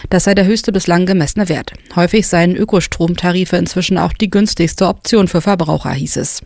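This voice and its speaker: A man reading a radio news bulletin and the weather in a steady, even delivery. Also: high voice, brisk, creaky, no echo.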